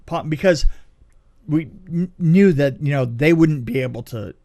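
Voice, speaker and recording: A man's voice: a little high, measured pace. A middle-aged man telling casual stories as a guest, often repeating phrases as he goes. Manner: animated retelling with repeated phrases and acted-out quotes. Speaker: film effects veteran, podcast guest. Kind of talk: casual anecdotal storytelling.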